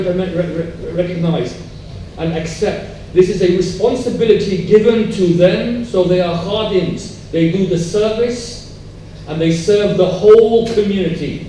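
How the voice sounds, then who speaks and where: High-voiced man speaking in an echoey hall. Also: warm tone. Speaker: panel speaker. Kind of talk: answering at a public panel discussion. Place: public event hall. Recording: very echoey hall, distant mic, faint noise.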